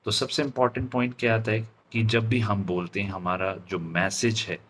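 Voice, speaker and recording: An Indian man giving an instructional lesson as a public speaking trainer, deliberate and stressing his key words. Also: on the low side, flat delivery, a bit bright, slight background noise. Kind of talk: instructional lesson mixing Hindi and English.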